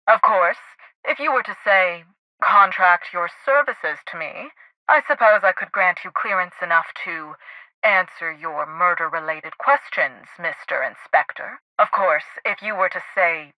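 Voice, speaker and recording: An actress playing a pompous, high-strung head scientist in a video game, speaking formally with precise diction. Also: female voice, sharp tone, no room sound, rough recording. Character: haughty, high-strung woman scientist heading a research lab. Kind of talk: video game character dialogue.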